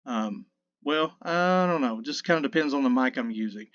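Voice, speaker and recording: A man in his fifties with a low mid voice, casually talking through a mixing tutorial in a rambling, self-correcting, folksy way. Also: animated, moderate pace, fairly bright tone, dull audio. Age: 50s. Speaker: sound engineer teaching mixing. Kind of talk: casual screen-recorded tutorial. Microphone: dynamic vocal mic.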